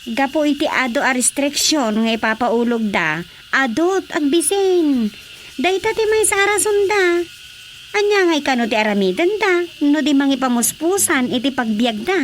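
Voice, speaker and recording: A high-voiced woman playing a scolding village wife in a radio comedy drama. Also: expressive, normal speed, slight background noise. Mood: grumbling. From Philippines.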